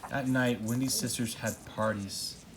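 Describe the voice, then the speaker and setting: A man's voice: medium pitch, fairly even intonation, unhurried, rough recording. A father reading a storybook aloud in narration, recorded at home. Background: household noise, young child interjecting.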